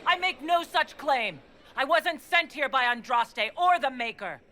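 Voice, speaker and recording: Fantasy game lead: defiant dwarf, plain-spoken, clear forceful tone, higher register. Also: female voice, expressive, unhurried, a little hiss or hum, full character voice. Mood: firm. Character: composed, defiant female dwarf leader. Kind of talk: dramatic fantasy game acting.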